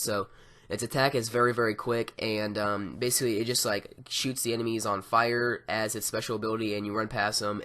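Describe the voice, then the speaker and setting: A man with a raspy, hoarse voice from being sick, casually reviewing game mods with a rambling, filler-heavy delivery. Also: lowish voice, a bit bright. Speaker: gaming YouTuber. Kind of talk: casual mod review over gameplay.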